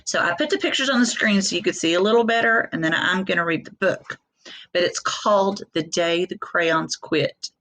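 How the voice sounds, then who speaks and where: A middle-aged woman, a teacher talking to young children in a folksy, expressive way full of questions. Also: lowish voice, moderate pace, poor audio. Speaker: elementary school teacher. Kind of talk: read-aloud for young children, chatty asides.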